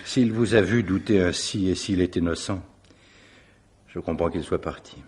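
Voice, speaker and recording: Low-voiced, shrewd male investigator. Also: normal speed, darkish tone, breathy edge. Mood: pointed. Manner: polite, probing, dryly insistent. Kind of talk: French radio mystery drama acting.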